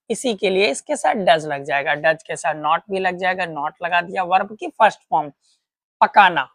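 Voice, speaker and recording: A woman's voice: mid-range voice, dry recording, clean studio sound. A woman teaching a patient, step-by-step grammar lesson, asking questions and answering them herself. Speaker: English teacher.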